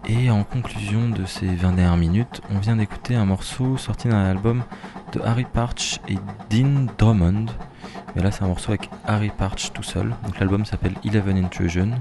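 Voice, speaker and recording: A man with a very low voice loosely runs through the tracks he has just played on his radio show, stopping often to search for words. Steady noise fills the space behind him. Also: normal speed. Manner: relaxed and hesitant, with fillers and restarts. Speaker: radio host. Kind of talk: casual back-announcing on community radio. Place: bar toilets in the suburbs of Brussels. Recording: noisy ambience from a bar's toilets behind the voice.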